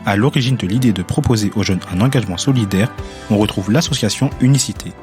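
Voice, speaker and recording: Brisk, low-voiced male radio presenter over music. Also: a little mellow. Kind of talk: scripted radio narration.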